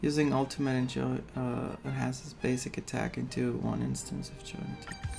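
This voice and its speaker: Gravelly, excitable woman gaming streamer. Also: a bass voice, moderate pace, soft background music. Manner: excitable, gushing. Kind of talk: casual playthrough commentary.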